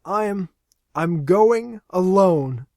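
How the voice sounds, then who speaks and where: A woman's voice: fairly low pitch, animated, very slow, dark tone, dry recording, studio quality, voice pushed up, heavily acted. A man voicing an ecstatic, tearful young woman who speaks breathlessly between sobs. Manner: breathless, sobbing, pleading.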